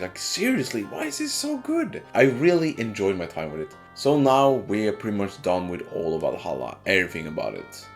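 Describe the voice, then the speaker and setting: A man giving a casual scripted video game review, informal and sweary with animated exclamations. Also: lowish voice.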